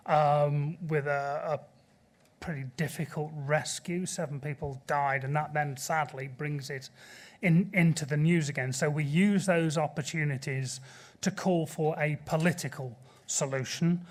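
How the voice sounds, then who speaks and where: Earnest middle-aged man on a panel. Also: on the high side. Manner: earnest and measured, pressing a point. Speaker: panelist from a sea rescue group. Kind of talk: panel debate answer. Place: large conference auditorium, seated at a panel table. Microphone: table microphone.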